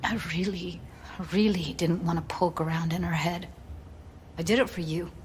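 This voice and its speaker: Actress as weary, low-voiced tech woman. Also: steady tone, moderate pace, slight background noise, close to an everyday voice. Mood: bitter, subdued. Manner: frank, weary, informal. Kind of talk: video game voice acting.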